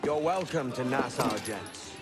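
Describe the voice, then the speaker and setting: An actor playing a cocky, sardonic young pirate captain in a video game, talking in a wry, rough, colloquial way. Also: male voice, medium pitch, animated, unhurried, close to an everyday voice. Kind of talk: cinematic video game acting. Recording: dramatic music behind the voice.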